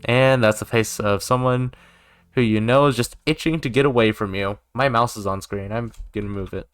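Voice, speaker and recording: A man's voice: mid-range voice, fairly even intonation, moderate pace, clear, resonant tone. A man doing let's play commentary, reading game narration aloud and dropping in joking asides. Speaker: gaming YouTuber. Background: music.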